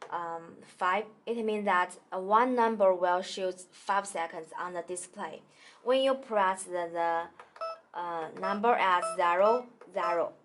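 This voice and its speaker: A woman demonstrating a product in a small indoor studio, talking haltingly with many fillers and restarts. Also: mid-range voice, fairly bright tone, a little hiss or hum. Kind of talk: hesitant product tutorial.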